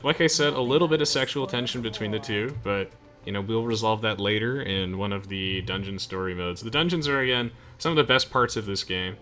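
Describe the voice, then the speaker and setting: A man's voice: fairly low pitch, fairly quick, fairly bright tone, faint music behind. A young man giving casual video game commentary to friends as the host of a playthrough. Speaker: let's play host. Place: game voice chat with music behind.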